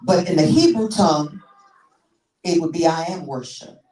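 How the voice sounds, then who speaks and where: A woman in her sixties delivers a teaching sermon, often restarting and repeating her words as she works through a point. Her voice sits low for a woman. She is heard from some distance in a reverberant church sanctuary. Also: animated, normal speed, warm tone. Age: 60s. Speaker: pastor. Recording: echoey church room, mic far off.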